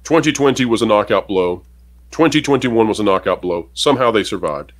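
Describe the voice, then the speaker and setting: A man's voice: lowish voice, animated, a little fast. A man co-hosting a casual commentary show, reading out an article and giving emphatic opinions to viewers. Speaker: podcast co-host.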